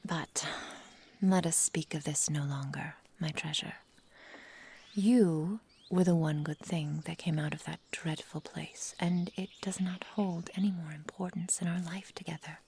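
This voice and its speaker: An adoring, possessive forest witch, acted by a woman, speaks in a soft, breathy near-whisper. Her delivery is slow and intimate, on the low side and velvety dark. She uses formal storybook phrasing full of tender endearments, in the style of an ASMR roleplay. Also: steady tone, a little hiss or hum. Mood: soothing, possessive.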